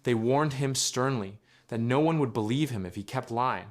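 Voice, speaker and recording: A man reading a scripted children's story in a measured storytelling manner. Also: medium pitch. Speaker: narrator.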